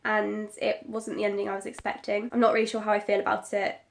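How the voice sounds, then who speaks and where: A woman's voice: mid-range voice, little pitch movement, fast, creaky. A woman with a cold, sounding bunged up and sniffly, chatting casually in a rambling reading vlog. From Britain. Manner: rambling, many likes and ums. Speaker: book vlogger.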